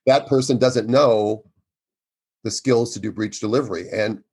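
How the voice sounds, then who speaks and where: Loud, husky-voiced older man. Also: fairly low pitch, measured pace. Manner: loud, emphatic, earnest. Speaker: obstetrician guest. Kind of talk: conversational interview.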